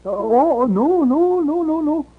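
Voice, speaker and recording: An actor playing an eager, polite Chinese hotel porter in halting English with a Chinese accent, in 1950s radio drama style. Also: male voice, extremely high pitch, animated. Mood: fussy, eager. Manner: hesitant, flustered, halting broken English. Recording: tinny vintage radio recording.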